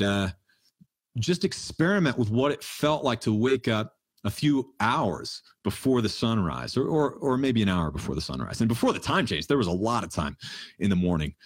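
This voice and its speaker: A man in his thirties hosting a casual livestream Q&A, answering listener questions in a relaxed, rambling way and laughing often. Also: on the low side, breathy edge, dry recording, clean studio sound. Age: 30s. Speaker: livestream Q&A host. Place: home in the mountains.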